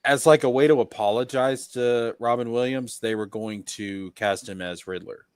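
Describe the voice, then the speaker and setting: A man's voice: medium pitch, moderate pace, clear, resonant tone, no room sound, studio quality. A man in a casual discussion with friends, talking emphatically and restating his points as he gives his opinions. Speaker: podcast panelist.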